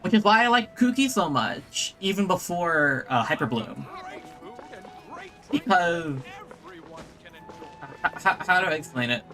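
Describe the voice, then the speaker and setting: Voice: medium pitch, lively intonation, measured pace, pauses often, bright, forceful tone, faint music behind. A streamer chatting casually and enthusiastically to viewers while playing a game, in a rambling way. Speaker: streamer and host. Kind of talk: casual livestream gaming chat.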